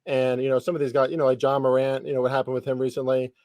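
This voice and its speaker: A man in his thirties hosts a casual sports podcast. His voice is mellow and relaxed, recorded close and dry. He talks informally, with slang and the odd swear word. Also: medium pitch, rapid-fire, no room sound. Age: 30s. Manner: informal, lightly profane slang. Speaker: podcast host interviewing a guest.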